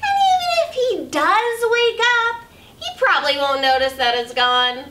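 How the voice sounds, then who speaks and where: A young woman reading a picture book aloud as a children's storyteller, with animated, exaggerated delivery, in a small room at a distance from the mic. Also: high voice, moderate pace. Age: young adult. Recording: roomy, distant camera mic, faint noise.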